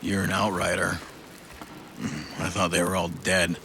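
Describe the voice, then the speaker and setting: An actor playing a bitter, world-weary survivor, cynical and foul-mouthed, in a video game cutscene. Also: male voice, monotone. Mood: guarded, wry. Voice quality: very gravelly, fried. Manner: cynical, profane, halting. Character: bitter, world-weary male outrider's son, debt-ridden survivor. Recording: clear background rumble and ambience.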